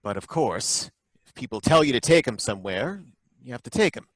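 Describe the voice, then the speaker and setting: An actor playing a suspicious, bumbling license inspector who asks halting, probing questions in a live old-time radio drama. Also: male voice, mid-range voice, big pitch swings, fairly bright tone, no room sound, low-quality recording.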